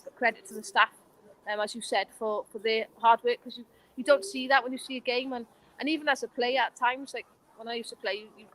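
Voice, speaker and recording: A woman's voice: fairly high pitch, rough recording. A Welsh woman and former player answering interview questions in an earnest, passionate way. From Wales. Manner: earnest, passionate, warm praise.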